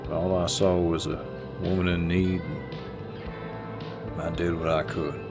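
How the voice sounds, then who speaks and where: Deep, slow-drawling Southern man, acted. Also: flat delivery, over music. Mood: tender, teasing. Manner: slow, gentlemanly drawl with folksy phrasing. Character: easygoing, chivalrous Southern redneck boyfriend, teasing and devoted. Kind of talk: intimate boyfriend audio roleplay, acted to the listener.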